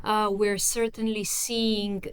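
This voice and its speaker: A woman answering interview questions as a chief executive, in a measured, articulate way with hesitations between phrases. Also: medium pitch, level tone, clean tone. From Bulgaria.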